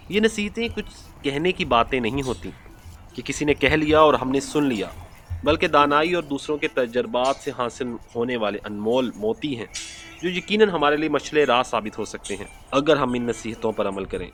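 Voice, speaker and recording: A man's voice: medium pitch, a bit bright, slight background noise. A man narrating a moral story as a channel host, earnest and explanatory in manner.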